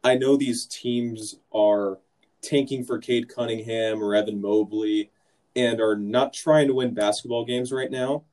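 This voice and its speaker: Male podcast host, mellow dark voice, relaxed and jokey, mid pitch. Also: unhurried. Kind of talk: casual sports podcast chat.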